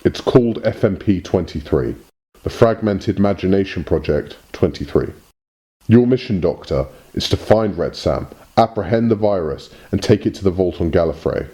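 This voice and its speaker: A man's voice: sits low, animated, moderate pace, warm tone, soft background music. A man playing a pompous, evasive alien messenger in a parody audio drama, with formal, theatrical delivery. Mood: formal, portentous. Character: pompous, evasive alien Time Lord messenger in smart suit.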